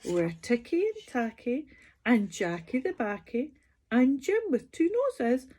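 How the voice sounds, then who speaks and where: Woman as cheeky, sing-song little paper dolls, high-pitched. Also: very animated, takes it slowly, dark tone, exaggerated voice. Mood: defiant, gleeful.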